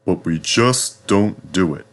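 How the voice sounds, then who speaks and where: Man playing proud storybook steam engine, stuffy, measured, disapproving tone. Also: low-pitched, expressive, heavily acted. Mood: smug.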